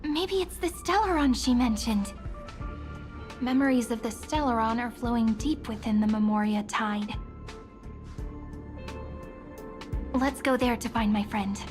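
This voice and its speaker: High-voiced young heroine, played by a woman, musing. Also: normal speed, over music, lightly acted. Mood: curious, musing. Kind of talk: video game voice acting, musing to herself.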